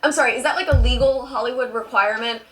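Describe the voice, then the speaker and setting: A woman's voice: a little high, fast, over loud music. A woman whose first language is Spanish, delivering scripted, sarcastic video commentary. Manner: sarcastic, argumentative. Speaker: video essayist.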